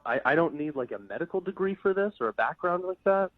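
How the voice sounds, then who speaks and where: A man's voice: a little high, expressive, quick pace, no echo. Polite guy on a phone line, kinda muffled and dry, hesitant, stammers a bit. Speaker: temp worker taking a phone call. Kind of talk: casual phone conversation. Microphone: telephone.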